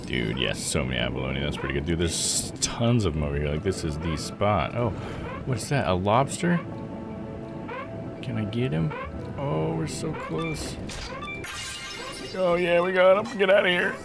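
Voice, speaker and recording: A man's voice: fairly low pitch, expressive, unhurried, creaky, pauses often. A man streaming a video game, giving casual, slangy live commentary and muttering to himself with mild swearing. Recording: loud game music behind the voice. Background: video game music.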